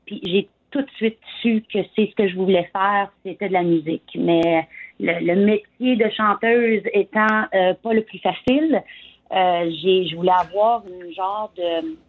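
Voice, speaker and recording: A woman's voice: mid-range voice, unhurried. A woman in her forties with a Franco-Ontarian French accent, a singer chatting as an interview guest with frequent hesitations. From Eastern Ontario, Canada. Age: 40s. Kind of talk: casual interview chat. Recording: tinny phone line.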